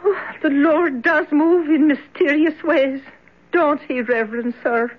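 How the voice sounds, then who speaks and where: High tearful pious lady in an old radio play, really dramatic, tinny sound. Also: measured pace. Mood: tearful awe. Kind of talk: 1970s radio drama acting. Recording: tinny, band-limited old radio recording.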